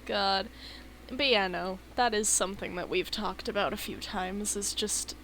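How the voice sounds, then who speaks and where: Sleepy woman streaming a game, slow easy talk, laughs a lot, bit of faint hiss. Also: mid-range voice, fairly bright tone, poor audio. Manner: laughs often, swears casually. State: sleepy, yawning. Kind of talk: casual live-stream chat.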